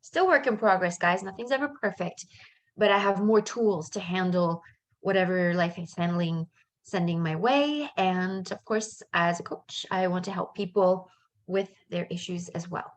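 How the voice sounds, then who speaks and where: A woman's voice: on the low side, moderate pace. Chatty upbeat woman coach on a webinar. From born in Canada, raised in France. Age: middle-aged. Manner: chatty and upbeat, with many fillers and asides. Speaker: life coach. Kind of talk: casual live webinar presentation.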